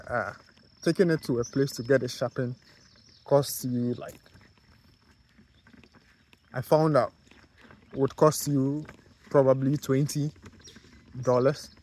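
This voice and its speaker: A man from Ghana with a Ghanaian accent, talking casually to camera in a vlog, halting with many fillers and restarts. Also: mid-range voice, darkish tone. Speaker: vlogger, car detailer.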